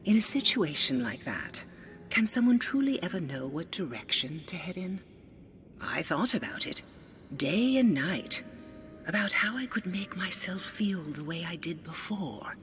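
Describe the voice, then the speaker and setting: A soft-spoken blind older songstress. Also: female voice, on the low side, big pitch swings, soft background music. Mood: reflective. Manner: soft, measured, often whispery and intimate. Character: blind older songstress, wise and gently teasing. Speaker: game character. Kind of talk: video game voice acting.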